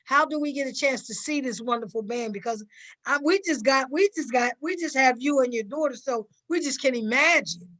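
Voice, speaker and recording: A woman with a bright, forceful voice on the higher side chats as a guest on a panel. She is modest and deferential, circling back over her words for emphasis. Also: brisk. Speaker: stage play cast member, guest. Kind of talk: casual panel conversation.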